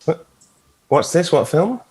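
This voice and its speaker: An actor playing a smug, flirtatious, theatrical devil in a read-through of a TV drama script. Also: male voice, on the high side, lively intonation, a little slow, darkish tone. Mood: curious.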